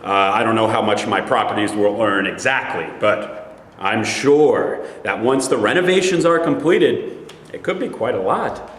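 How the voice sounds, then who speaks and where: Man reading forty-ish real estate salesman, smooth pitch, hesitant fillers, distant, echoey. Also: unhurried, fairly bright tone. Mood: reassuring. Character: smooth-talking male real estate dealer, about forty. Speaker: actor in a staged reading. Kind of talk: staged reading. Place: large rehearsal studio. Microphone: far camera. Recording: echoey studio from far off.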